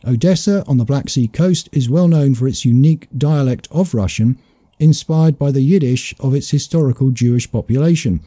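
A man hosting a talk show, reading a news report aloud in a measured way before commenting. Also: medium pitch, warm tone, dry recording, studio quality. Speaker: host.

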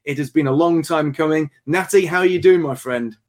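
A man's voice: on the high side, brisk, a little mellow. A man hosting a talk show, speaking emphatically and repeating words for stress. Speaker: show host.

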